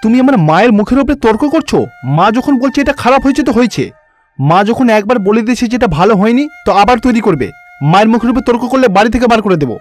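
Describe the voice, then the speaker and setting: A man voicing an angry, domineering young husband in a cartoon fairy tale, loud, scolding and threatening. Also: extremely high pitch, animated, racing, pitched above the performer's own voice. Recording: background music under the voice.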